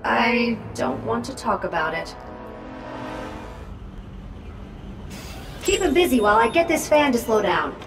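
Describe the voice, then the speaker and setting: A woman playing a chatty, cheeky robot drone sidekick in a video game, expressive and breezy in delivery. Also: a little high, normal speed. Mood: rattled. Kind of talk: video game character acting. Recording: echoey, distant, over loud music.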